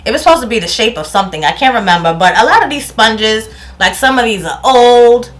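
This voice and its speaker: A woman's voice: on the high side, expressive, fairly quick. A woman in her thirties from New York with a New York accent, chatting casually through a product review at home and laughing often. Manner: chatty, laughs often, loses words and restarts. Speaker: beauty vlogger. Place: home room, indoors.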